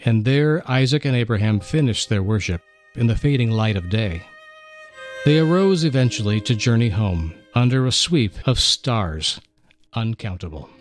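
Male radio host, mid-pitched, earnest and steady, light music bed. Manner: measured, earnest delivery. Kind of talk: dramatic story reading and radio talk.

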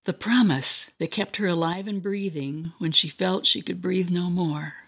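A woman reading a scripted dramatic narration in an expressive, storybook manner. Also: fairly low pitch, unhurried, slightly rough.

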